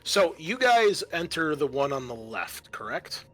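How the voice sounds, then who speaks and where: A man narrating a tabletop roleplaying game as game master, explaining things in a halting, improvised way. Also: fairly high pitch, very animated, a bit bright.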